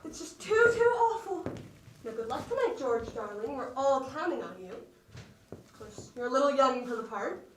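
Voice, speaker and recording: A distant, echoey theatre recording. A young woman plays a gushing stage actress, dramatic and exaggerated, in a high voice that swings widely in pitch. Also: sing-song, normal speed, slight background noise. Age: teens. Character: theatrical, gushing actress backstage before a show. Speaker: student actor. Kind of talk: stage play acting.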